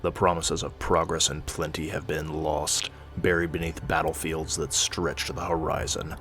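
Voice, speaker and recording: A man's voice: a bass voice, level tone, normal speed, a bit bright, faint music behind. A man giving a grave, theatrical narration of scripted horror stories.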